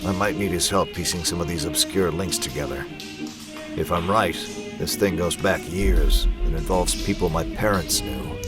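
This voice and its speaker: Low-voiced brooding vigilante played by a man. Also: normal speed, natural voice. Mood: grim, focused. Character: brooding vigilante crime fighter, grown man, terse. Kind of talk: comic book dramatisation. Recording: loud music under the voice.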